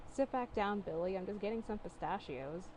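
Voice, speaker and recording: A woman's voice: medium pitch, lively intonation, moderate pace, a little mellow, a little hiss or hum, natural voice, poor audio. Woman putting on a theatrical, mischievous prankster boyfriend. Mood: dismissive. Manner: dramatic, declaiming.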